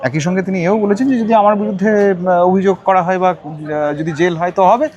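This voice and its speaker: A man with a Bengali accent and a slightly high voice asks interview questions. He builds them in halting pieces, pausing as he searches for the next phrase. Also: fairly even intonation, darkish tone, dull audio.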